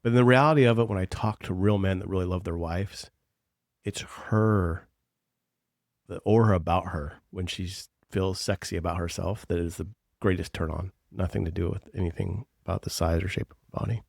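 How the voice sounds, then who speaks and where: Man, very deep voice, gravelly, blunt teasing podcast coach, dry sound. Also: fairly even intonation, normal speed, darkish tone, no echo. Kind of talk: casual podcast chat.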